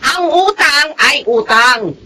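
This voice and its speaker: A woman's voice: high voice, lively intonation, slow pace, a little hiss or hum, exaggerated voice. A woman playing a hot-tempered storekeeper who nags and scolds over debts in a Filipino radio drama. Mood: scolding, irritated. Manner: nagging, sharp-tongued scolding.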